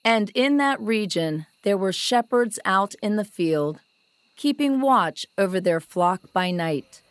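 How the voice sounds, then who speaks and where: A woman narrating a scripted Bible story in a measured, reverent storytelling delivery. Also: medium pitch, animated, no echo.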